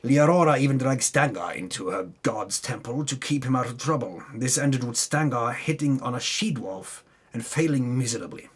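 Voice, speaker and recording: A man's voice: mid-range voice, slightly rough. A man giving a dramatic, tongue-in-cheek reading of a fantasy game recap, breaking off into muttered asides. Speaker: narrator recapping a tabletop game campaign.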